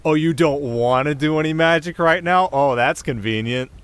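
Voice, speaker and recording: A man's voice: a little high, moderate pace, smooth, no room sound, natural voice. A man playing a cocky, playful winter spirit in an ASMR roleplay, speaking straight to the listener in flippant, sarcastic, casual banter. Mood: sarcastic, teasing.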